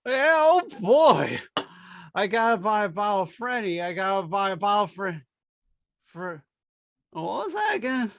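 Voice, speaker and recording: An actor playing a tipsy henpecked husband, wheedling and grandiose, in old-time radio style. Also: male voice, high voice, sing-song, measured pace, creaky, pauses often, no room sound, clean studio sound, heavily acted. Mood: tipsy, rambling.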